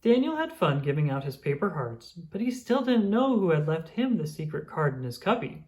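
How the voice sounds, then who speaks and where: A children's read-aloud narrator telling a picture-book story. The delivery is highly expressive, with pitch rising and falling freely, and it is clearly aimed at young listeners. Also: normal speed.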